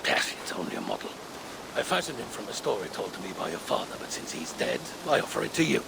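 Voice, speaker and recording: Gravelly, mysterious Norse craftsman. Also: on the low side, very animated, fast, noisy background, exaggerated voice. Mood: gentle, wry. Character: mysterious older Norse craftsman, soft-spoken, wry visionary. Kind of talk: video game cutscene acting.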